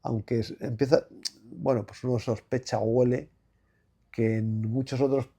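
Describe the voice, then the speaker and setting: A man's voice: mid-range voice, steady tone, moderate pace, creaky, dark tone, slightly rough. A man in his sixties from Madrid with a Madrid Spanish accent, a former athlete speaking as an interview guest in a reflective, rambling way with many fillers and restarts. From Spain. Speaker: guest, former basketball player. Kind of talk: relaxed conversational interview.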